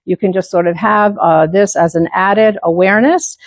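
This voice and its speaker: A woman's voice: mid-range voice, steady tone, moderate pace, dry recording. An older woman presents to a conference room in the tone of a former teacher. She stops often on fillers and restarts her sentences, and her mid-range voice sounds dry and close. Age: 70s. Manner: many filler words and restarts; former teacher who moves about while speaking. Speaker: section manager giving a club presentation. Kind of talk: conference talk.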